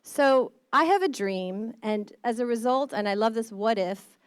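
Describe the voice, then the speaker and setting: A woman's voice: on the high side, a little slow, smooth, no echo, studio quality. A middle-aged woman from the American Midwest, a state board of education member, giving an earnest, passionate conference speech. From Midwestern United States.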